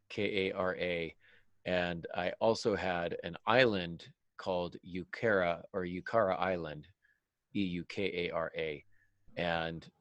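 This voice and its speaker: A man's voice: deep voice, fairly bright tone, dry recording, lo-fi sound. A man talking casually as a podcast host, with a self-deprecating, joking manner. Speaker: podcast host and fiction writer. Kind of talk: casual podcast discussion of writing tips.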